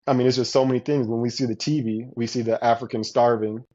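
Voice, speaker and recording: A man speaks in a casual podcast conversation with a dark, mellow tone. He talks emphatically, repeating himself and restarting sentences as he presses a point. The audio is muffled and lo-fi. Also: mid-range voice, steady tone, quick pace.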